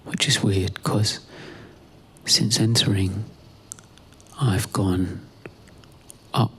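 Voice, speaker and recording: A man performing a spoken-word monologue in a deliberate, halting way, repeating phrases, with a husky voice. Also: lowish voice, fairly even intonation, fairly bright tone, slight background noise. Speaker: performance artist and narrator.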